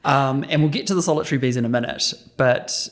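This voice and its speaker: A man hosting a podcast, asking measured, prepared interview questions. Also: mid-range voice. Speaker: podcast host.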